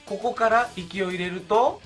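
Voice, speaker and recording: A woman's voice: medium pitch, fast talker, over loud music. A woman from Japan giving a casual demonstration of homemade instruments.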